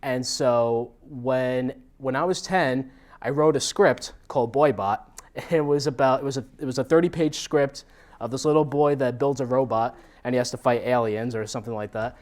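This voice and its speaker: A man's voice: mid-range voice, normal speed, a little mellow. An American man from New Jersey giving an informal talk as a guest speaker, telling stories with self-deprecating animation. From New Jersey, United States. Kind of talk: informal autobiographical talk to a meetup audience.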